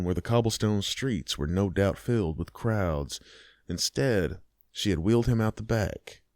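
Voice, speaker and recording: A man narrating a horror story in a slow, measured storytelling manner. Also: low-pitched, darkish tone, no echo, clean studio sound.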